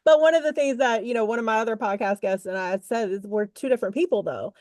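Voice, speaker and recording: A woman's voice: fairly high pitch, quick pace, a little mellow, dry recording, clean studio sound. A woman hosting a podcast interview, talking in an upbeat, chatty way with frequent laughs and fillers. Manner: upbeat and chatty, laughs often, lots of fillers like "you know". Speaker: podcast host. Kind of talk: casual interview chat.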